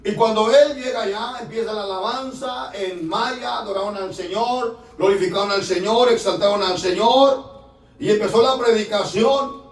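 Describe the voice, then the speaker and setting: A man, a pastor, preaching a fervent sermon that rises to shouts, recorded in a church from off the mic. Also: high-pitched, normal speed, distant mic. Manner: fervent preaching, rising to shouts, filler "hermano". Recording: echoey church room, voice from off-mic.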